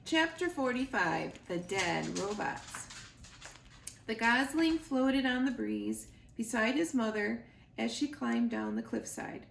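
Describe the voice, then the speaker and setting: A woman reading a storybook aloud to children in an expressive, deliberate storytelling way, as a school librarian. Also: fairly high pitch, creaky. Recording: roomy echo, noticeable background noise.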